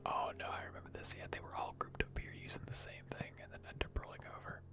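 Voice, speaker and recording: A video host whispers gaming commentary for ASMR, with no real voicing, just breath. The talk wanders and hesitates, close to the mic. Music plays clearly underneath. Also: fast. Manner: rambling, hesitant.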